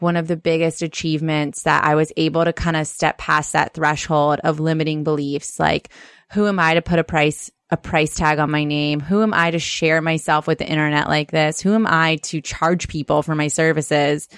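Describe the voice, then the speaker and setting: Woman podcast co-host, deep voice, smooth, frank and emphatic, dry sound. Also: fairly bright tone, no room sound. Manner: earnest, frank, emphatic, occasional swearing. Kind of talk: casual podcast chat.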